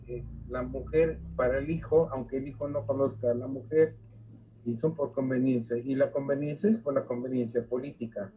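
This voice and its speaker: An older man giving a university lecture, halting with frequent fillers and restarts. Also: mid-range voice, breathy edge. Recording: dull lo-fi recording.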